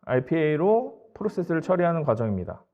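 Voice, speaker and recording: A man's voice: a little high, very animated, brisk, highs cut off. A man giving a formal, polite and matter-of-fact business tech presentation as a company presenter, explaining slides step by step.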